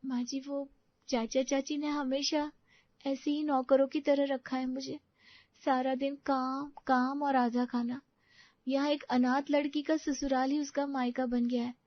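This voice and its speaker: A woman's voice: high-pitched, level tone, measured pace, no room sound, muffled recording. A woman playing a timid, grateful young orphan bride in an audio story, hesitant and deferential.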